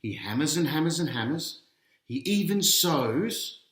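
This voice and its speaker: A man's voice: a little high, lively intonation, measured pace, a bit bright. A man reading a picture book aloud to children as its author, putting questions to his young listeners and teasing out each reveal. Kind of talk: children's storytelling read-aloud. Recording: roomy, echoing sound.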